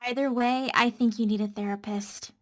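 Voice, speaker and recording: A woman's voice: on the high side, steady tone, normal speed. A woman reading scripted narration in an amateur table read, matter-of-fact about absurd lines.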